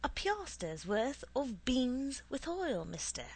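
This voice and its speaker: A woman voicing an indignant, easily flustered young boy in a storybook, with a shrill edge. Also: big pitch swings, slow, breathy edge, full character voice. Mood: calling out.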